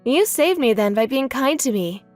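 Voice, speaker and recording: A woman's voice: high voice, animated, normal speed, soft background music. A woman playing a kind, grateful young woman, an old school friend, in a manga dub. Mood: tender, grateful.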